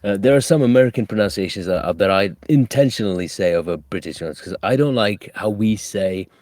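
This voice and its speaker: A man's voice: lowish voice, a little fast, a little mellow, no echo, clean studio sound. A British man chatting as a guest on a live stream, hesitant, repeating his words and playful. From United Kingdom. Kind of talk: casual live-stream chat.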